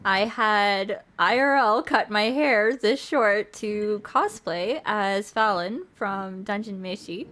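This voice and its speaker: A woman's voice: mid-range voice, fairly bright tone. A young woman streaming, chatty and playful, moving between casual chat and reading a visual novel aloud. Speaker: streamer and host.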